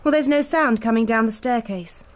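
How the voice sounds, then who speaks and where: A woman's voice: a little high, normal speed, natural voice. An actress playing a candid, teasing young British woman in a radio drama. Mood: uneasy. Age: young adult.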